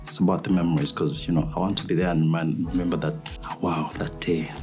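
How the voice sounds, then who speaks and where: A laid-back man talks on a date in a reflective, halting way. His voice is very deep and low. Music plays clearly in the background. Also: fairly even intonation. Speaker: dating show contestant. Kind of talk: casual date conversation.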